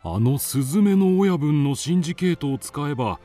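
A man's voice: on the high side, lively intonation, normal speed, mellow, faint music behind, natural voice. A man playing a thoughtful, kindly doctor to animals in an audio drama, musing in an avuncular way. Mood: kindly, decisive.

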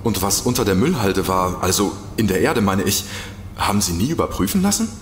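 An actor playing a nervous, reluctant investigator in an audio drama, hesitant and stammering. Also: male voice, sits low, animated, a little fast. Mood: curious, wary. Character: nervous, reluctant male investigator, easily spooked. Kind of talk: German audio drama acting. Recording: echoey reverberant room with background noise.

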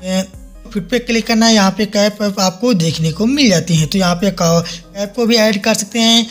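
A man's voice: high-pitched, normal speed. A man hosts a step-by-step app tutorial. He guides the listener with repeated instructional phrases. Faint music runs behind his narration. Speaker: tutorial host. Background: soft background music.

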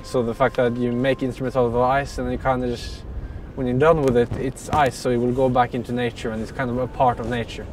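A man speaking quickly and earnestly in a documentary interview. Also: medium pitch, flat delivery, darkish tone. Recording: distant from the mic, music behind.

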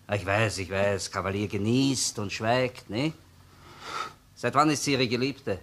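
A man's voice: on the low side, unhurried, fairly bright tone. A man playing a sardonic, relentless police interrogator in a radio crime drama, with a Viennese accent and taunting, repetitive questions. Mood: mock-sympathetic, sly. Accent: Austrian, Viennese. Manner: taunting rhetorical questions, repeats words, tag questions. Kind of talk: German-language radio crime drama acting.

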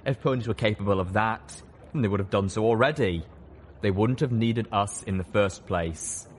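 A man's voice: fairly low pitch, moderate pace, slight background noise, close to an everyday voice. A man voicing a condescending, grandiose male pony leader, pompous and patronising, in an audiobook. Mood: condescending, amused. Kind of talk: dramatised audiobook storytelling.

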